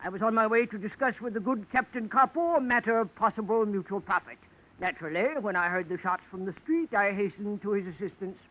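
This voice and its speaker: Actor as high-voiced, florid, mock-polite old-radio schemer. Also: male voice, brisk, lightly acted. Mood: amused, theatrical. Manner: florid, mock-polite, chuckling, orotund phrasing. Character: courtly, shifty ship-salvage man in a sloppy suit. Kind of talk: 1940s radio detective drama acting. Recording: dull, band-limited old radio recording.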